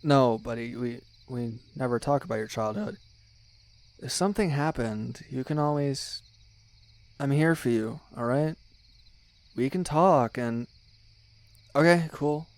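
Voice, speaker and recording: A man's voice: medium pitch, measured pace, long pauses, a little mellow, natural voice. Man acting as a chummy, teasing young best friend. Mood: gentle, coaxing. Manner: chummy, teasing, slangy, with hesitations and exclamations. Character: teasing, loyal young male best friend, excitable ASMR fan. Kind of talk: ASMR roleplay, one-sided casual banter with the listener.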